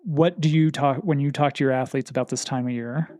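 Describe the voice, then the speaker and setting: A man hosts a training podcast in a mid-pitched, ordinary voice. He asks friendly questions that drift and restart before landing. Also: fairly even intonation, brisk, warm tone, no echo, clean studio sound. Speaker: podcast host, cycling coach. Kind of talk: conversational podcast interview.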